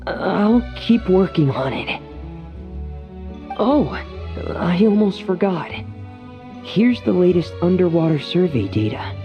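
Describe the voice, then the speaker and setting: A woman playing a shy, gentle, earnest young diver in an anime game, speaking softly and hesitantly. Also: fairly low pitch, muffled recording. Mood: bashful. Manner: hesitant, soft-spoken, earnest.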